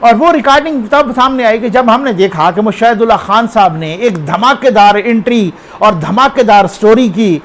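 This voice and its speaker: Male news commentator, Pakistani accent, high voice, conversational and unscripted, quizzes the viewer. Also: normal speed, few pauses. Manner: conversational, addresses the viewer with rhetorical questions and check-ins.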